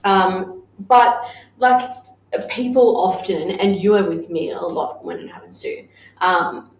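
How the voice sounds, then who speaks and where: A woman in her thirties, a business owner co-hosting a casual podcast chat, blunt and quick to swear and laugh. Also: mid-range voice. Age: 30s. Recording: echoey room with faint noise.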